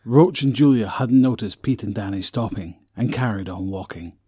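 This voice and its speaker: A man's voice: on the low side, normal speed, mellow, husky, no room sound. A man reading scripted story narration for an audio drama.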